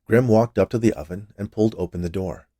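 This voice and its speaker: A man's voice: fairly low pitch, quick pace, warm tone, dry recording, studio quality. A man reading scripted fiction aloud as a storyteller. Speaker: story reader.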